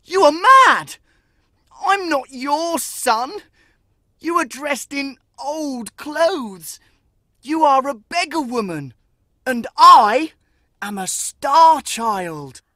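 A woman's voice: high-pitched, animated, slow, a bit bright, no echo, clean studio sound, heavily acted. A woman voicing a proud young boy in a storybook audiobook, sneering and scornful. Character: proud young boy, vain and cruel, later remorseful. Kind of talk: audiobook character acting.

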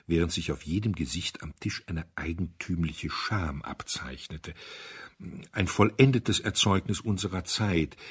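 Deep, very husky male audiobook narrator. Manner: measured, expressive storytelling with wry formality. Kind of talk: scripted literary narration.

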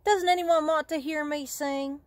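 A woman voicing a spirited little cowgirl with a Southern twang, who sings her silly songs in a bright, drawn-out way. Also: sits high, steady tone, no room sound, voice pushed up. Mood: disappointed. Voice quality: bright, sing-song delivery, drawn-out yodeling yells.

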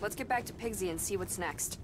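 An actress playing a determined young woman adventurer in a video game, with a brisk, urging manner. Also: mid-range voice, natural voice. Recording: loud ambient machinery noise.

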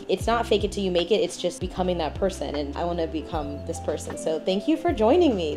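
A woman in her late twenties talking to the camera at home in a casual, reflective vlog, with many "like" fillers. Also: fairly low pitch. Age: 20s. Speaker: vlogger. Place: at home indoors.

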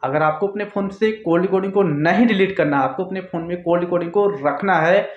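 A man's voice: high voice, quick pace. A man giving a step-by-step phone tutorial to camera, with repetitive, instructional phrasing. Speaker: tech tutorial host. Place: small indoor office, seated. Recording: echoey room, dull muffled sound.

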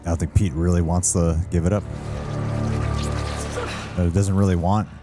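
A man's voice: deep voice, little pitch movement, normal speed. A man in his thirties chatting casually and enthusiastically while reacting to a video game on a headset mic. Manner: enthusiastic, laughing asides. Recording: game music playing behind.

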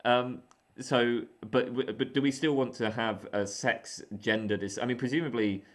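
A man's voice: medium pitch, steady tone, moderate pace. A man hosting a philosophy chat, asking careful, hesitant clarifying questions. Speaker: host. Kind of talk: casual philosophy discussion.

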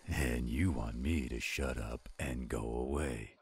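A man's voice: very deep voice, takes it slowly, darkish tone, a little hiss or hum. A man playing a pushy, loyal friend in a roleplay audio drama, steadily teasing and insisting. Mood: teasing, insistent.

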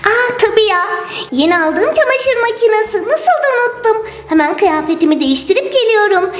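Toy-play cartoon doll girl, extremely high, affectionate singsong delivery, roomy sound over music. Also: expressive, fairly quick. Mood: cheerful. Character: cheerful little doll girl hosting her friends for tea. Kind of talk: children's toy play storytelling.

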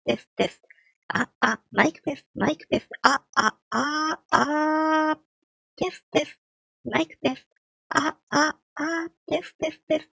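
A man speaking through a voice changer that raises his pitch and formant, doing mic checks and reading prepared lines. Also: vocal fry, pushed and bright, dry recording. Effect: voice changer raising pitch and formant. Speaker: video creator testing a voice changer. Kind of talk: mic test and reading a prepared text aloud. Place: small cluttered room at a desk.

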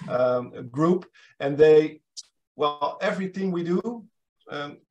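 A lighter-pitched Dutchman you would hear in an online city planning webinar, restarting phrases as he answers. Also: slow, darkish tone, poor audio. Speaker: panelist, city climate official. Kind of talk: unscripted panel answer. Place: indoors, on a video call. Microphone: video call microphone.

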